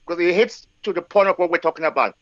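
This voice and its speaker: A man's voice: high voice, fast, fairly bright tone, no echo, lo-fi sound. A man in his forties from Africa, speaking in a live discussion with emphasis and frequent checks of "okay" and "yes". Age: 40s. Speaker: guest speaker.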